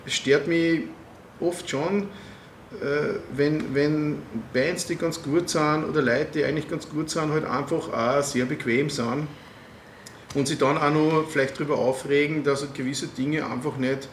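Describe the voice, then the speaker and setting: A middle-aged man with an Austrian accent, interviewing a guest in a hesitant, measured way with many fillers. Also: a little high, fairly even intonation, echoey room, a little hiss or hum. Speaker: interviewer and music booker. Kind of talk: casual interview chat.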